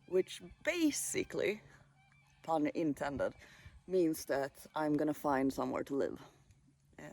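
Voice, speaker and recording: A man in his late twenties from Sweden, vlogging casually at a countryside caravan site, talking in a relaxed, musing way with pauses and fillers. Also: a little high, creaky, dry recording. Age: late 20s. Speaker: travel vlogger. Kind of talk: casual vlog monologue.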